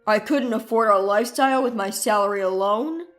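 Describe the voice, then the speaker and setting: A woman's voice: on the high side, a little fast, fairly bright tone, faint music behind, natural voice. A woman voicing a needy, wheedling ex-husband in a dramatized story, pleading and plaintive. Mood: shocked.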